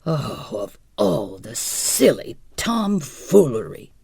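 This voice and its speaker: A woman's voice: a bass voice, very animated, takes it slowly, mellow, husky, no echo, clean studio sound, full character voice. A woman voicing a good-natured, cheerful husband who teases mildly. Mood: wry. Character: good-natured, amused middle-aged husband.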